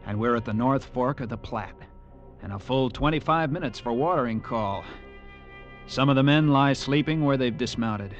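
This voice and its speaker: A man's voice: mid-range voice, moderate pace, dull audio, lightly acted. Man acting earnest young cavalry lieutenant, old-time radio. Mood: weary, grave narration. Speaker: actor in a radio drama, also narrating in character. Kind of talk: 1940s-50s radio acting. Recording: old radio recording with music.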